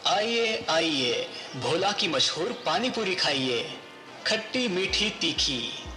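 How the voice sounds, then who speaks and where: A man's voice: high-pitched, sing-song, takes it slowly, sharp tone, reverberant, faint music behind, heavily acted. A man playing an eager street snack vendor calling out a sing-song advertisement in a cartoon story. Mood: inviting, hawking. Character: street snack vendor's looping sales cry, eager hawker.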